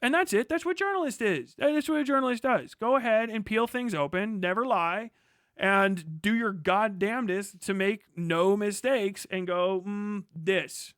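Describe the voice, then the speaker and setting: An American man delivering an impassioned, profane livestream rant, forceful and emphatic, slipping into imagined dialogue as he goes. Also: high voice, unhurried, dry recording, clean studio sound. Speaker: streamer.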